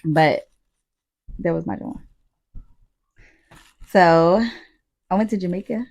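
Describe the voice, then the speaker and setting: An American woman co-hosting a podcast, telling stories casually in slangy, profane, animated talk with quoted asides. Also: lowish voice, takes it slowly, creaky. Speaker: podcast co-host. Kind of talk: casual chat, storytelling.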